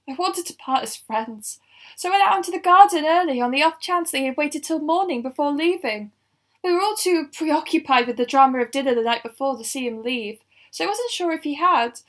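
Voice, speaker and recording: A woman's voice: sits high, animated, moderate pace, fairly bright tone. A woman voicing an emotional villager who found a body, rambling and tearful in an amateur audio drama. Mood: upset.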